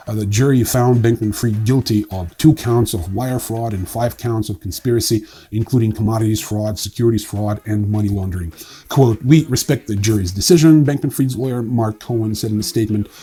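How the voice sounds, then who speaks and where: A man hosting a casual news roundup in an offhand, wry way, with a husky voice and frequent hesitations. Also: lowish voice, warm tone. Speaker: newsreel host. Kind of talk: casual crypto news commentary, partly read.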